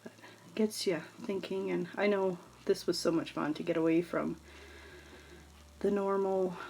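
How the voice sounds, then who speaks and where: Chatty stuffed-up woman, low voice. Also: gravelly, darkish tone, poor audio. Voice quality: stuffed-up, nasal. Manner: chatty and rambling, with small laughs and fillers. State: congested from allergies. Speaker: scrapbooking vlogger. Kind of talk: casual craft tutorial chat.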